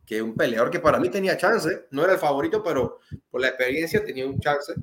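A man from Panama chats about the fights in a rambling, easygoing way and often restarts his sentences. His voice sits a bit high for a man. The room is echoey, with a faint hiss of noise behind him. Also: expressive, quick pace, a bit bright. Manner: chatty, rambling, frequent restarts. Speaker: boxing podcast host. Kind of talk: casual sports chat.

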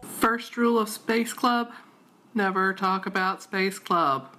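A woman's voice: mid-range voice, slow pace, sharp tone. A woman co-hosting a podcast in casual chat, with a wry, joking manner. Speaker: podcast co-host.

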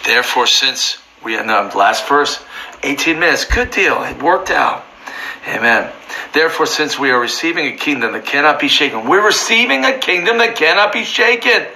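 A slightly hoarse man teaching from the Bible informally, fervent and building to near-shouting emphasis. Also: fairly high pitch, lively intonation, normal speed, slight background noise, low-quality recording.

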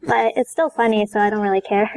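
A woman's voice: medium pitch, fast, vocal fry, darkish tone, rough recording. A woman in a casual chat as a podcast guest, speaking in a hesitant, tentative way. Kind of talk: casual chat about anime and manga.

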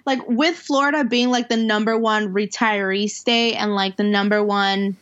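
A woman's voice: medium pitch, steady tone, moderate pace, fairly bright tone, dull audio. A woman co-hosting a podcast in a casual chat, rambling and leaning on fillers as she talks. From half Puerto Rican, half Dominican heritage. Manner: rambling, heavy on fillers and restarts. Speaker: podcast co-host.

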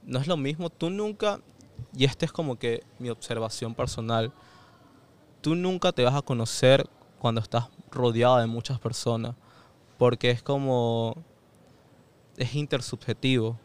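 Venezuelan accent, young adult man, smooth resonant tone, mid-pitched, reflective podcast guest, casual chat. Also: normal speed. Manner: reflective, thinks aloud with fillers and restarts. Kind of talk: casual conversation.

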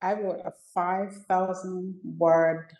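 Earnest Jamaican-born woman, mellow voice. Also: fairly low pitch, level tone, slow, reverberant. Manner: earnest, repeats herself, fillers like "you know". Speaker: guest, women's mentor. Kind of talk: conversational interview.

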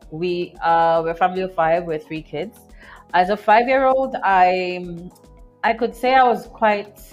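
A woman from Kenya, a scientist guest on an interview podcast, answering in a warm, rambling way with fillers and soft laughs. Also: lowish voice.

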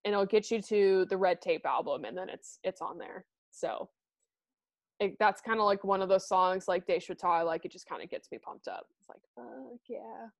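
A woman's voice: medium pitch, normal speed, gravelly, no echo, studio quality. A woman co-hosting a podcast, chatting casually and rambling with fillers and laughs. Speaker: podcast co-host. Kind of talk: casual chat.

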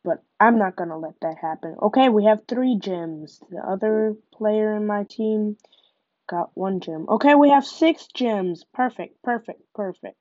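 A woman's voice: mid-range voice, mellow, no echo. Young woman, stuffy nose from a cold, gameplay commentary, dry muffled home recording. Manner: chatty, narrates each move. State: nasal from a cold. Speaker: gaming podcaster.

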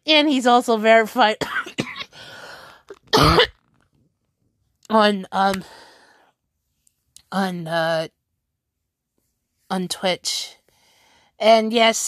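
A woman's voice: medium pitch, no echo, clean studio sound. A woman chatting casually to her viewers, rambling and correcting herself as she goes.

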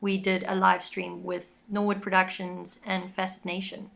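Woman, band singer, high crisp bright voice, quick eager talk. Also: flat delivery. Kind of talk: casual interview chat.

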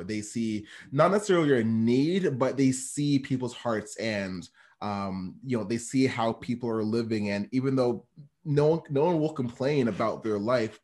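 A man with a low voice hosts a casual interview. He responds with encouraging, effusive praise. He often stumbles over and repeats his words. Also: a little mellow. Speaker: interview host.

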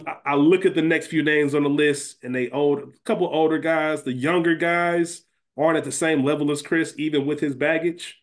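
A man's voice: a little high, fairly even intonation, normal speed. Slangy man bantering on a podcast. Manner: slangy, profane, thinking aloud and repeating himself. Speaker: podcast co-host. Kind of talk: casual sports-draft style banter about a TV series.

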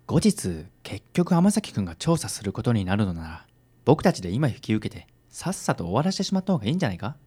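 A woman takes on the part of a suave man who likes to meddle. The voice sits very low and swings widely in pitch. The delivery is smooth, theatrical and wry, in radio drama style. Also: sing-song, fast, mellow, full character voice. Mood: sly, persuasive.

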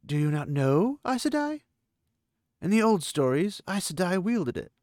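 A man's voice: sits high, very animated, a little slow, a little mellow, no echo, clean studio sound, voice pushed up. A man voicing a proud, formal young female desert warrior with solemn, stiffly formal speech. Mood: earnest.

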